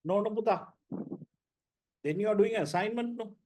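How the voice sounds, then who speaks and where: A man's voice: high voice, moderate pace, darkish tone. A man lecturing students in an online class, blunt and repetitive, often checking with "right?".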